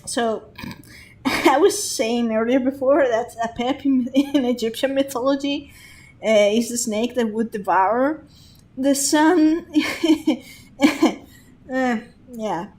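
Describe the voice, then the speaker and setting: Woman streamer with a slightly high voice, slow and hesitant as she muses over the game, laughing often. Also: darkish tone. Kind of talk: gameplay commentary.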